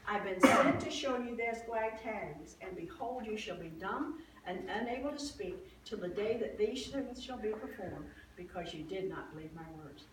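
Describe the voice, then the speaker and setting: In a very echoey space, some way from the mic, a woman acts out an older priest's wife from a Bible story. She is devout, excitable and full of awe. She tells her tale in a chatty, halting, expressive way, breaking into exclamations. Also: mid-range voice, close to an everyday voice. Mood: astonished, awed. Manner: conversational, expressive, halting with restarts and acted-out exclamations. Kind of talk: first-person dramatized Bible monologue, storytelling. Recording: roomy echo, distant mic, faint noise.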